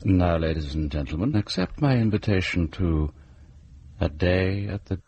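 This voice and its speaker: Creepy sardonic horror radio host, super low and gravelly, mock-reassuring. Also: male voice, expressive, dull audio, noisy background. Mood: sinister, sardonic. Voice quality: gravelly, husky. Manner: slow-relishing, mock-reassuring. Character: sinister, sardonic male host of horror tales. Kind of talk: theatrical scripted horror introduction.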